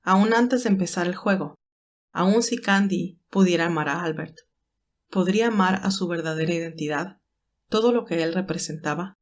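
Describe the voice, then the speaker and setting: Woman narrator reading a dramatic love story, scripted, lowish-to-mid voice. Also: normal speed.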